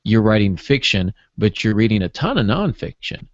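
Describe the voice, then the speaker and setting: A man co-hosting a writing podcast, summing up and affirming points in a halting, conversational way. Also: on the low side, very animated, no room sound, highs cut off. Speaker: podcast co-host, writer.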